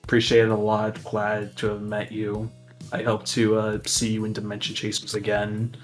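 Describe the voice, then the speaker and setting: A man's voice: lowish voice, monotone, normal speed, faint music behind, rough recording. A man playing a tabletop roleplaying game, narrating his character's actions in a casual, improvised way.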